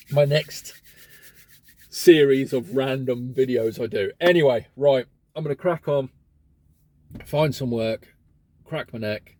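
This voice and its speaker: Man with a mellow tone, chatty vlogger grumbling about his day with casual swearing. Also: mid-range voice. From England. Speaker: van courier vlogger. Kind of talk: casual vlog monologue.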